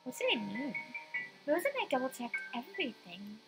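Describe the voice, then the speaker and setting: A woman's voice: sits high, big pitch swings, moderate pace, loud music behind the voice. A young woman reading a visual novel aloud as dramatic first-person story narration.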